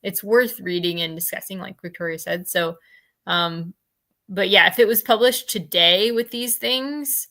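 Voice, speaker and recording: A woman's voice: medium pitch, a little slow, pushed and bright. A woman hosting a casual book discussion, talking tentatively as she thinks aloud. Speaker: host of a book discussion livestream.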